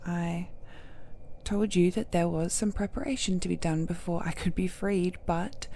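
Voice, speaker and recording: A woman playing an ancient godlike villainess in an intimate audio drama, seductive, possessive and falsely tender, with coy endearments and a breathy voice that often drops to a whisper. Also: fairly low pitch, fairly even intonation, mellow, a little hiss or hum, natural voice. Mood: coyly confessing. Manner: slow, coy, drawn-out endearments with teasing murmurs.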